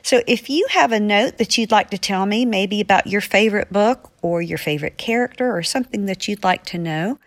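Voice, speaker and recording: A woman's voice: medium pitch, brisk, few pauses. A woman from the United States, an author reading and answering listener mail on a podcast in an earnest, effusive way. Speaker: author with a regular podcast segment.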